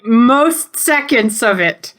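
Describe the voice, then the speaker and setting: A woman's voice: on the high side, animated, slow, fairly bright tone. A woman co-hosting a podcast in casual banter, reacting dramatically and exclaiming. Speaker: podcast co-host.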